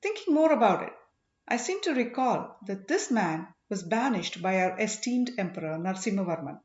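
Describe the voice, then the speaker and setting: A woman's voice: medium pitch, big pitch swings, moderate pace, a little mellow, echoey room, muffled recording, close to an everyday voice. Woman voicing a sneering, slyly deferential male commander. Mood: insinuating. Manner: mocking, feigned deference.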